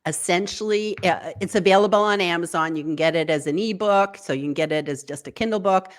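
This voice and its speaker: A woman guest on a live video interview, giving encouraging tips in an animated way and leaping up in pitch for quoted thoughts. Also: brisk. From United States. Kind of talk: online interview. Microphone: video call.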